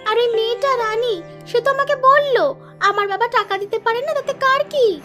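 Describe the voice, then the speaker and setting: A woman's voice: extremely high pitch, normal speed, music in the background. A woman voicing a sly, needling village gossip who stirs up trouble in a Bengali cartoon. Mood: scheming, mocking. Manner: needling, insinuating.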